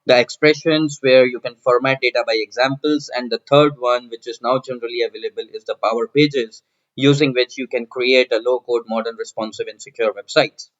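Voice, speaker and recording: A man narrating a software walkthrough as a tutorial presenter, explaining step by step and often repeating phrases. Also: mid-range voice, fairly even intonation, a little fast. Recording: dull, lo-fi microphone sound.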